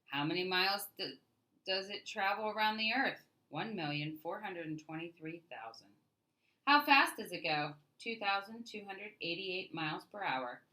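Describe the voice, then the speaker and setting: A woman reading a children's picture book aloud as a storyteller, with expressive, emphatic delivery and deliberate phrasing. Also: mid-range voice, low-quality recording.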